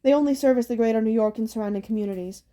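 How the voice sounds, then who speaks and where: Know-it-all girl companion voiced by a woman, matter-of-fact, bossy, higher pitch, storybook. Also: rapid-fire, dark tone, natural voice. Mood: reassuring.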